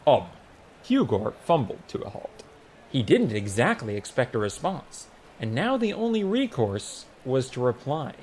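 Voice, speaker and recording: A man's voice: medium pitch, sing-song, a little slow, a little mellow, slight background noise. A man narrating a comic fantasy audiobook in a wry, scripted storytelling style.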